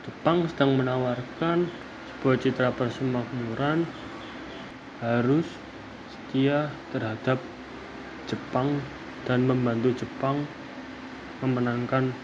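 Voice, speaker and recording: A man's voice: mid-range voice, steady tone. Muffled, noisy recording of a man presenting. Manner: halting, phrase-by-phrase delivery. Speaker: student presenter. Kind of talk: academic presentation, explanatory lecture. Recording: dull lo-fi audio, clear background noise.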